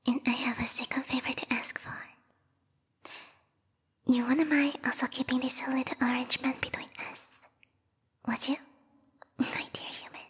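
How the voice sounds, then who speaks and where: A woman voicing a formal, haughty bat girl who serves a vampire queen, speaking in a breathy, whispery ASMR roleplay style close to the microphone, politely menacing. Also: high-pitched, little pitch movement, normal speed, pauses often, fairly bright tone, big-room sound, heavily acted. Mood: teasing, possessive. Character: yandere bat monster girl, devoted servant of vampire queen. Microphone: close binaural microphone.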